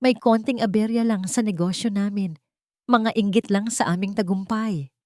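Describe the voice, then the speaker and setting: A woman's voice: medium pitch, moderate pace, dry recording, studio quality, pitched above the performer's own voice, natural voice. A woman voicing a haughty older mother in a story, snappish and dismissive. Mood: forced reassurance. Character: haughty, irritable older mother of a grown son.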